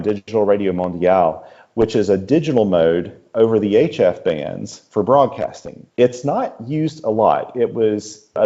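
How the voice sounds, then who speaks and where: Podcast guest, male, deep and mellow, casual, keen to explain in depth. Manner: enthusiastic, detailed explaining. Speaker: podcast guest, radio enthusiast. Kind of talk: casual interview talk. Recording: slightly dull, lo-fi audio.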